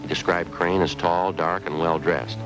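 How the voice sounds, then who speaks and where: An actor as a deep, clipped homicide detective. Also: male voice, moderate pace, close to an everyday voice, rough recording. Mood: narrating. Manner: flat, clipped, matter-of-fact. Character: terse male homicide detective. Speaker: police detective and narrator. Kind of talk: clipped 1950s radio drama narration. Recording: old radio recording with music.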